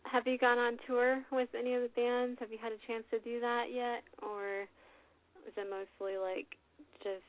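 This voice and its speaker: A woman's voice: a little high, flat delivery, moderate pace, dry recording. A woman hosting a casual radio interview, bubbly and chatty, laughing often between questions. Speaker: radio show host. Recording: phone-like lo-fi audio.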